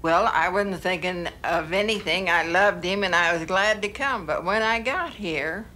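A woman's voice: on the low side, normal speed, pushed and bright, a little hiss or hum. A woman in her seventies from Wisconsin, reminiscing in a plain-spoken, matter-of-fact way in an interview. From Wisconsin, United States. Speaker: farmer's wife. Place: farmhouse kitchen.

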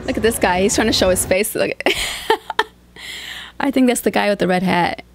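Gravelly-voiced woman hosting a reaction video. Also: medium pitch, a little slow, faint music behind. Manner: blunt, chatty, opinionated. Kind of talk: casual reaction commentary.

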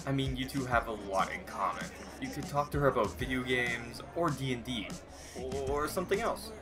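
A man playing a teasing, supportive, nerdy teenage boy in an animated roleplay series. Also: mid-range voice, moderate pace, music in the background, lightly acted. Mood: teasing, encouraging.

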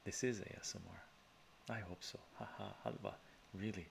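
A middle-aged man from Canada hosting a relaxed ASMR live stream from his home study, chatting softly, chuckling, often adding "right?". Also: on the low side, moderate pace, vocal fry, slight background noise. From Canada, of Armenian descent from Iran. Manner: soft-spoken, chuckling, chatty asides with frequent "right?". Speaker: live-stream host reading chat. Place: home study lined with bookshelves. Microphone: webcam framing at desk.

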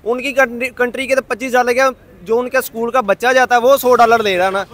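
A man's voice: very high voice, fairly even intonation, fast talker, a bit bright. A young man explaining with disbelief in a street interview at a busy night market, into a handheld mic. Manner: incredulous, explaining. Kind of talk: street vox pop.

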